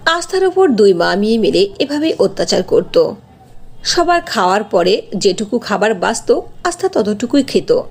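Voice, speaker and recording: Female storyteller, mid-pitched and expressive, a touch breathy, reading cartoon narration. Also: moderate pace.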